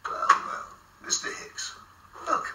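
An actor playing a coolly menacing male intelligence boss in a radio drama, measured, dry and deadpan. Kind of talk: naturalistic radio drama acting. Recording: echoey room, slightly distant, faint noise.

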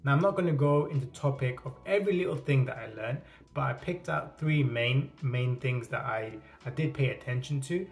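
A man's voice: mid-range voice, normal speed, vocal fry, faint music behind. A man in his thirties who stutters, talking casually to camera in a small indoor room, often repeating words and restarting. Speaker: vlogger.

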